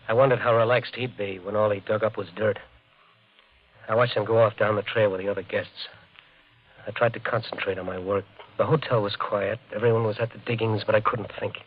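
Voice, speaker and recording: An actor playing a desperate, overwrought American resort owner in an old radio drama, shifting between brooding narration and urgent dialogue. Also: male voice, fairly low pitch, husky. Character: desperate, overwrought island resort owner telling his story. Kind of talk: 1940s-50s radio drama acting and narration. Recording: dull old radio recording, music behind.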